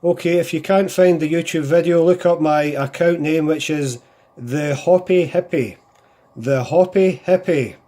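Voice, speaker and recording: A Scottish man speaks as a hobby radio operator in a casual contact. His voice sits a little high with a very mellow, soft-edged tone. He repeats phrases and leans on radio procedure words. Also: a little slow. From Scotland.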